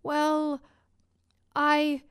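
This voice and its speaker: A woman's voice: high voice, completely flat delivery, very slow, dry recording, studio quality. A woman doing a muddled woodcutter. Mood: dazed. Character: hungry, muddled poor woodcutter man.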